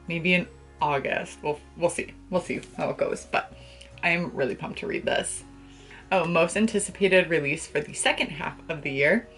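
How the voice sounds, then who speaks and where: Casting: young woman, low-voiced, casual book vlogger, gushing and playful with slangy delivery, bedroom setting. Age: young adult. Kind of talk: casual chat to camera about books. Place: small bedroom, indoors.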